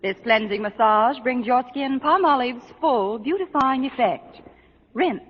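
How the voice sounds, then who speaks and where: A woman's voice: on the high side, animated, unhurried, slightly rough. A woman reading a scripted vintage radio advertisement in an instructive, persuasive manner. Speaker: commercial announcer. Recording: muffled reverberant old radio recording.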